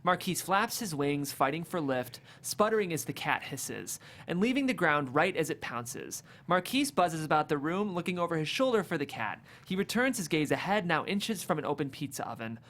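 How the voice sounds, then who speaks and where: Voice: mid-range voice, lively intonation, moderate pace, hardly any pauses, fairly bright tone. A narrator reading comedic screenplay stage directions aloud at a table read in a vivid, amused way.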